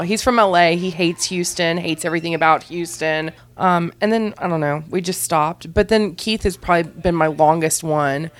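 A woman guest on a podcast, telling blunt, unembarrassed stories in a rambling way full of "like". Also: deep voice. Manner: rambling, heavy use of "like". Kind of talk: candid casual storytelling.

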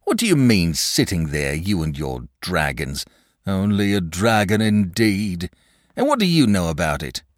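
A man's voice: low-pitched, big pitch swings, measured pace, no echo, studio quality. A man voicing a nervous, superstitious country shepherd and father from a storybook, rambling on emphatically in rural dialect. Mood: indignant. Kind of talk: storybook character voice.

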